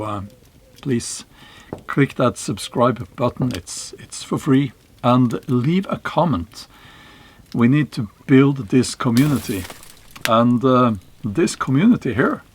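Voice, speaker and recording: A middle-aged Norwegian man speaking English with a Norwegian accent, giving a slow, reflective monologue to camera, with pauses and fillers. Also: medium pitch, a little hiss or hum. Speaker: channel host, historical novelist. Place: small cave in a forest.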